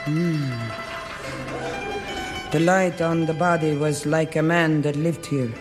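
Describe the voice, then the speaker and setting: An actress playing a fiery, tearful middle-aged seamstress with an Italian accent, who speaks blunt, emotional broken English. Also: female voice, low voice, fairly even intonation, slow pace, long reverb tail, music in the background. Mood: gentle. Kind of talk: 1950s film drama acting.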